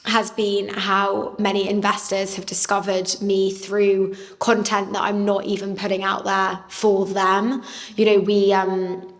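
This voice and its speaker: A woman founder speaking on a panel, with a naturally loud voice and a candid, self-deprecating manner. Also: mid-range voice, completely flat delivery, measured pace, big-room sound. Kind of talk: panel discussion talk.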